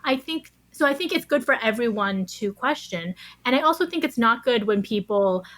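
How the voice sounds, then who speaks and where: A woman's voice: on the high side, lively intonation, moderate pace, a bit bright, no room sound. A woman speaking as an interview guest, giving thoughtful, conversational answers. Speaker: interview guest, science writer.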